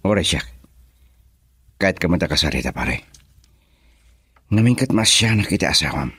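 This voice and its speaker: A man's voice: low voice, animated, moderate pace, sharp tone, slightly rough, dry recording, full character voice. A man acting a cold, taunting schemer in a radio drama, speaking in a menacing way.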